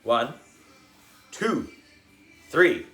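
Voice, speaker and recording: A man voicing a cheeky, sulky third-grade boy in a children's audiobook, blunt, childish and expressive. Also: medium pitch, very slow, echoey room, faint music behind, full character voice. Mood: insulting.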